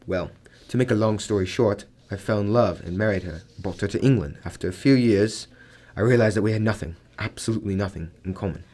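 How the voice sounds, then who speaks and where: Guy doing a proud bossy millionaire for a radio play, lowish voice, formal and blustery. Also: brisk, darkish tone, close to an everyday voice. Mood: grudging, confiding. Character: proud, overbearing wealthy man, threatening, used to obedience. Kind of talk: radio drama acting.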